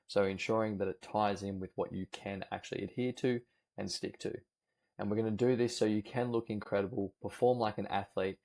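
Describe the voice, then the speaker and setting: A man from Australia with an Australian accent, a nutrition coach making an upbeat, persuasive pitch that lists points one after another. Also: creaky, darkish tone. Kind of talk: sales pitch video.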